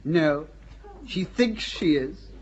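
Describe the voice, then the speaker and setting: An actress playing the Dauphin, a weak and petulant young heir to the throne with a whiny manner. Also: female voice, low-pitched, lively intonation, takes it slowly, crowd noise. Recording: dull audio with faint hiss.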